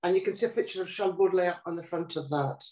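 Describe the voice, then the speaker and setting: An older woman, a poet, talking about her life in a relaxed interview, thoughtful and pausing often. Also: lowish voice. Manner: reflective, thoughtful, with many pauses and restarts. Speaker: guest poet. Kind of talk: casual interview chat. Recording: echoey room.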